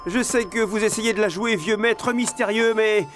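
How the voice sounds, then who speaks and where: A man's voice: high-pitched, steady tone, moderate pace, a bit bright, a little hiss or hum. A man voicing a young chubby panda hero in a video game dub, eager and goofy, with boyish exclamations. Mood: puzzled, chatty. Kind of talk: dubbed video game acting. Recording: music behind the voice.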